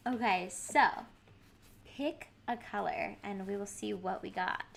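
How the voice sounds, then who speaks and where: A woman's voice: medium pitch, a little slow, creaky. A woman with a Boston accent, hosting a podcast in casual, bubbly chat and laughing often. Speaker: podcast host.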